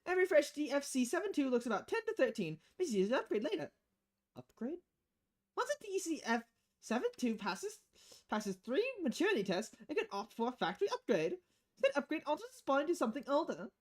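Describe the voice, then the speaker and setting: Woman doing a chirpy robot girl for a game, high n bouncy, cheeky, chatty. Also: very animated, a little fast. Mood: frank, chatty.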